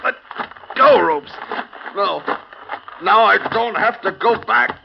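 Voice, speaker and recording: A frantic, deranged escaped patient, his voice pitched a little high as he pleads and lashes out. It comes from a lo-fi old radio broadcast with a clear hiss underneath. The 1950s radio drama style is plain. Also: wildly swooping pitch, unhurried, heavily acted. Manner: panicked, pleading and lashing out.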